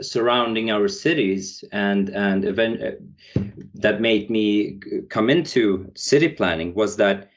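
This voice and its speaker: Swedish-raised young guy, podcast guest, lowish voice, stop-start phrasing. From Sweden. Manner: hesitant, many restarts and fillers. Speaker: guest, founder. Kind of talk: podcast interview.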